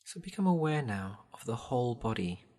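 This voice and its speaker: A man guiding a meditation in a gentle, soothing, measured way, leaving long pauses between instructions. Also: mid-range voice, sing-song. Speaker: meditation guide. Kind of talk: guided body scan meditation.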